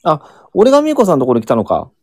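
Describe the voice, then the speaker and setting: A man's voice: on the high side, huge pitch swings, fast talker, a little mellow, dry recording, studio quality. A man joining a live audio show as a guest, chatting casually. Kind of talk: casual chat.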